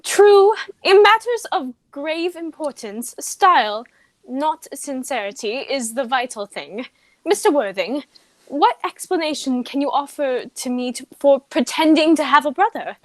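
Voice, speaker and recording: A woman's voice: sits high, expressive, unhurried, no room sound, rough recording. A woman acting a haughty, dignified young society lady in a radio play comedy, speaking in a formal, declarative way. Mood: grand, decisive.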